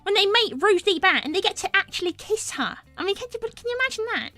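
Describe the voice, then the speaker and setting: Woman as cheeky cartoon animal girl, British accent, piercingly high, bright, exclamatory. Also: sing-song, fast, no echo, soft background music, full character voice. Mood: gossipy, awed. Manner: cheeky, exclamatory, swearing mildly. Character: brash, gossipy cartoon animal girl, young adult. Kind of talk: improvised in-character livestream chat.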